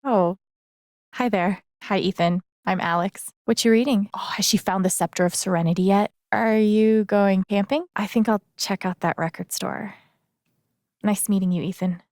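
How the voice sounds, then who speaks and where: Casting: woman to play young female game lead, new in town, friendly and dryly funny, natural delivery, medium pitch, close dry recording. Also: steady tone, moderate pace, darkish tone, dry recording, lightly acted. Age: young adult. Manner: casual, dryly joking, conversational. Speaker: video game voice actress.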